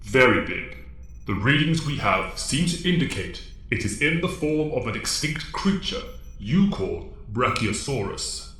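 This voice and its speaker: Man as stern alien mentor, audio drama, measured formal delivery, expressive pitch, heavy echo. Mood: grave, instructive.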